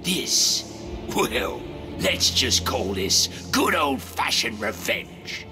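An actor playing a vengeful middle-aged British crime boss, mocking and theatrical, in a video game. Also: male voice, mid-range voice, big pitch swings, very slow. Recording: loud game music behind the voice.

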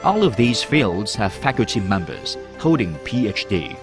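A man narrates a university promotional film in a formal, measured way. His voice sits a little low. Music plays loudly beneath the voice-over. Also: big pitch swings. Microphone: studio voice-over.

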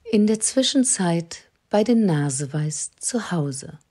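A woman narrating a scripted audio drama as storyteller, with a husky voice. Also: lowish voice, expressive, slow, darkish tone, full character voice.

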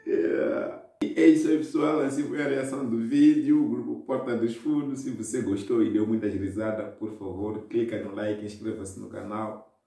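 A man's voice: fairly low pitch, fairly even intonation, moderate pace, gravelly, dark tone. A young man from Mozambique with a Mozambican Portuguese accent chats cheerfully through a reaction video, laughing as he comments. His voice sounds distant and echoes strongly off the walls of an indoor room. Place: indoors against a plain wall. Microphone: wired earphone mic.

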